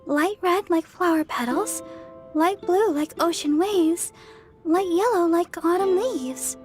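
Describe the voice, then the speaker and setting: A woman voicing a gentle, dreamy young girl in a video game, with soft, poetic, measured delivery. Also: very high voice. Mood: wondering. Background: background music.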